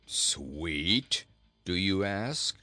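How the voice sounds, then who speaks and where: A man voicing a surly talking goat who grumbles with sarcastic, deadpan scorn in a storybook reading. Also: sits low, very slow, no room sound, soft background music, full character voice. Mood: scornful. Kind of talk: storybook character voice.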